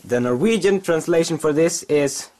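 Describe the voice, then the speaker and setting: A young man presenting a lesson in a classroom, in a deadpan, explanatory way. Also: fairly high pitch, moderate pace. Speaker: student teaching a class. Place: classroom indoors.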